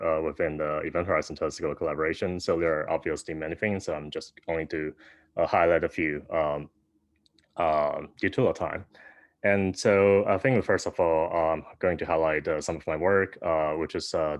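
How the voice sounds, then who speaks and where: A young man from Taiwan giving an online academic talk, soft-spoken with many fillers and restarts, over a video call. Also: deep voice, dry recording. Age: young adult. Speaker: guest speaker.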